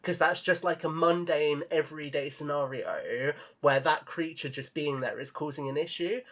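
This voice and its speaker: A man's voice: fairly high pitch, moderate pace, a bit bright. A man speaking as a podcast guest, explaining his views thoughtfully in casual discussion.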